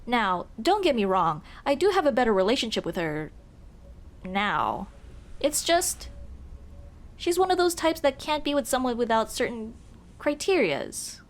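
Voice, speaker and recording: A woman's voice: on the high side, sing-song, unhurried. A woman playing a young tomboy childhood friend, casual, teasing and caring, in a scripted ASMR roleplay. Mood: hesitant, candid. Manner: casual, teasing, addresses listener as dude. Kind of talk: ASMR roleplay, scripted one-sided conversation.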